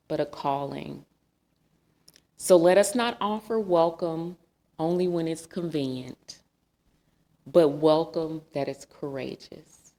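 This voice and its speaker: Female preacher, deep and unhurried, a bit of vocal fry, reflective and questioning. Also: warm tone. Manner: earnest, reflective, with rhetorical questions. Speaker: guest speaker. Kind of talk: sermon. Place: church sanctuary.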